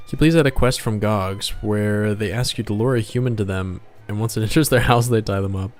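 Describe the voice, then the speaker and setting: A man streaming as a game developer and artist, chatting casually and muttering in a low-key way as he works. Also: fairly quick, soft background music. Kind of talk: casual livestream chat while drawing.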